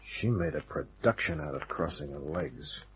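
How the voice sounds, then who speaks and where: An actor playing a tough, sardonic hardboiled private detective in a radio drama, with clipped narration and dialogue. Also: male voice, very deep voice, lively intonation, normal speed, faint music behind, poor audio. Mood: wry, narrating.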